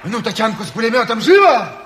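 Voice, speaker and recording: An actor playing a brisk, fearless Bolshevik chairman who barks curt orders in a Soviet radio play. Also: sits high, big pitch swings. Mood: urgent, curt, then barking, rousing. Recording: noisy 1970s radio drama recording.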